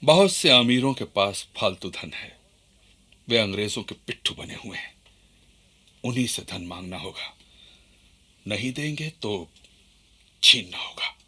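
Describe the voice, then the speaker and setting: An actor playing a fervent Indian freedom fighter in a radio play, with an impassioned, declamatory delivery. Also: male voice, fairly low pitch, animated, unhurried, pauses often, pushed and bright, slightly rough, exaggerated voice. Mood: earnest, resolute.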